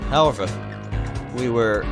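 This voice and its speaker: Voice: mid-range voice, measured pace, music in the background. A let's player reading a visual novel aloud dramatically with casual asides, stumbling over words and correcting themselves.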